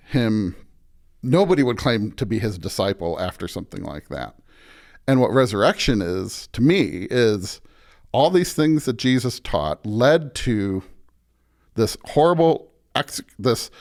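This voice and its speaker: Man, pastor, interview guest, mid pitch, emphatic, conversational preaching, tag questions. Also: a little mellow. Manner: emphatic, repeatedly checks with "right?".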